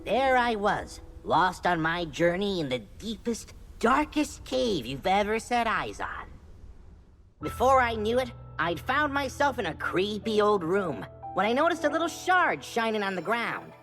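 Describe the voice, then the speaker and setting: A cheeky, good-hearted little adventurer guy from a video game. He swaggers and boasts in folksy, casual talk, with big swings in pitch. Music plays behind him. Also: sing-song, unhurried, fairly bright tone. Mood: proud. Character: small cheeky treasure-hunting male wanderer, boastful, good-hearted. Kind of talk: video game cutscene acting.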